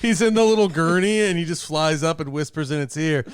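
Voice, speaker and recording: A man's voice: a little high, brisk, clear, resonant tone, no echo, studio quality. A man co-hosting a podcast, tossing teasing quips into casual group banter. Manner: quipping, teasing. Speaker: podcast co-host.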